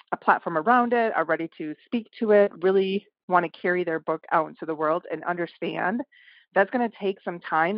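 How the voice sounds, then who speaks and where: A woman's voice: on the low side, no echo, dull audio. A woman who runs a publishing house, speaking as a podcast guest in a thoughtful, conversational way with occasional fillers. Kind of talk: casual podcast interview.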